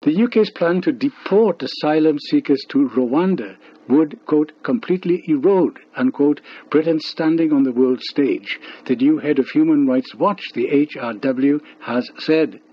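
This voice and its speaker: Dull, lo-fi shortwave radio sound carries a male newsreader. His voice is husky and a little higher than most men's, and he reads formal scripted news. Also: unhurried, no room sound.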